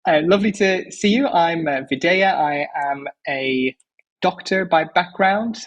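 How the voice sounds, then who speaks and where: A man's voice: on the high side, a little slow, muffled recording. A man around forty from the Netherlands, a physician speaking as a podcast guest in an articulate, enthusiastic way. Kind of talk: podcast interview.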